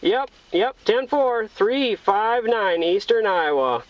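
A man's voice: sits high, lively intonation, slow pace, background noise. An American man chatting casually in CB radio jargon from a vehicle, with a folksy, jovial manner. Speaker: CB radio operator. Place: inside a parked or mobile vehicle. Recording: dull, lo-fi in-vehicle sound.

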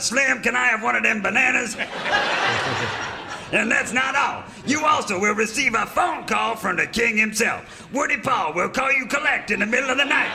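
A man playing a folksy, excitable old cowboy pitchman in a parody radio commercial. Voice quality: creaky.

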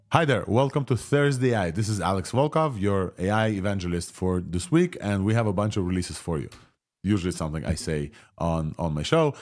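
A man in his thirties with a Russian and Israeli accent, hosting a live tech reaction video in an excited, rambling way. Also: deep voice. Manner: excited, rambling, laughs often. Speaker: tech show host. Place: home room, indoors.

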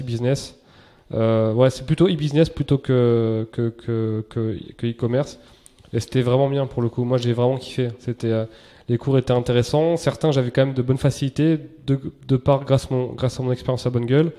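A man, a guest in a casual podcast interview, talking in a relaxed, chatty way with slang and many restarts. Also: medium pitch.

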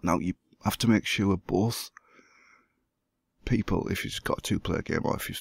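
A hoarse man who has the flu, walking through a computer setup step by step as the host of a gaming channel. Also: deep voice, normal speed, dry recording, studio quality. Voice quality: gravelly, husky. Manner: plain step-by-step explaining. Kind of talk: screen-recorded tutorial.

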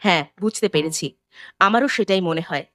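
A woman's voice: fairly low pitch, brisk, a bit bright, no room sound, studio quality, lightly acted. A woman playing a fearless, level-headed young village daughter in a horror cartoon, firm and questioning. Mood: calm, reasonable. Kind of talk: animated horror story acting.

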